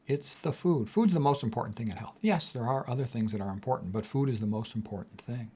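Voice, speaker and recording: A sixty-year-old male physician reads quotes aloud and comments on them at length. His voice sits at a middle pitch. He talks casually but with emphasis, circling back to repeat his main points. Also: lively intonation, fast talker, mellow, breathy edge. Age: 60s. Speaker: physician host.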